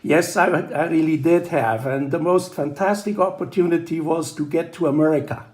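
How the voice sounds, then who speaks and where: An older man with a Swiss accent speaks over a telephone line in a fairly high voice. He talks haltingly, restarting often and filling his pauses with "uh." He sounds like a professor being interviewed. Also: a little mellow. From Switzerland. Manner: halting, many restarts and fillers. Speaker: guest, biology professor. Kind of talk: phone interview.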